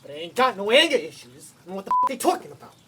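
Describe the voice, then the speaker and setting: A man playing a loud, dim, hot-headed anger-management patient in a comedy sketch, coarse and defensive. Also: high-pitched, very animated, rapid-fire. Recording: distant mic, faint noise.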